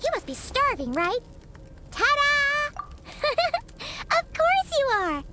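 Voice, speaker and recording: A woman voicing a tiny, excitable, childlike fairy companion in a video game, squeaky and cutesy. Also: takes it slowly, loud music behind the voice, exaggerated voice. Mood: cheerful, excited. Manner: exclamatory, cutesy, refers to self in third person.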